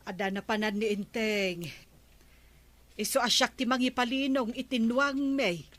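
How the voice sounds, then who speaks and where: A woman's voice: a little high, fairly even intonation, unhurried, a bit bright, dry recording. A woman playing a practical, agreeable village neighbor with an Ilocano accent in a radio drama. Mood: matter-of-fact.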